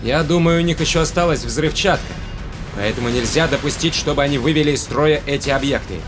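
Casting: man to play terse, serious sci-fi ship crewman, slightly higher male voice, over prominent score. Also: a little fast, over loud music, close to an everyday voice. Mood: urgent, worried. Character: Jørgen, tense male spaceship crewman. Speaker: actor in audio drama. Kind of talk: Russian sci-fi audio drama acting.

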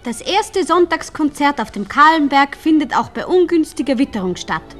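Woman announcer, high voice, animated lilt, formal notice reading, faint music behind. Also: moderate pace. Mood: chirpy, formal. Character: bright period announcer of concert notices. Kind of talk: declaimed newspaper notice in radio drama.

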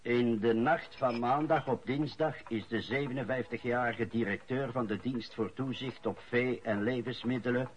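A man's voice: mid-range voice, moderate pace, hardly any pauses, lightly acted. An actor with a Flemish accent playing a precise, slightly impatient local man reading the newspaper aloud in a radio drama. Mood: matter-of-fact. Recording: dull, lo-fi radio recording.

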